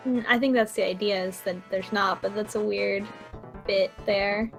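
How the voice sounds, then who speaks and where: A woman's voice: mid-range voice, fairly even intonation, normal speed, creaky, soft background music. A woman co-hosting a stream in casual chat, often thinking aloud as she goes. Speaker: stream co-host.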